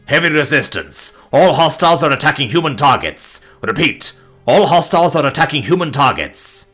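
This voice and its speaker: A man voicing commanding military officers from a fantasy war story, reporting in with clipped, forceful, projected delivery. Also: fairly high pitch, very animated, moderate pace, soft background music, voice pushed up. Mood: urgent, firm.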